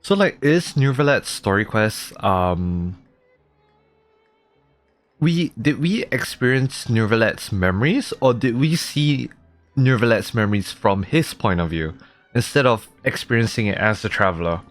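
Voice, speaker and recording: A man's voice: lowish voice, lively intonation, measured pace, soft background music. A man streaming a game with casual, reactive, rambling commentary. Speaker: gaming streamer.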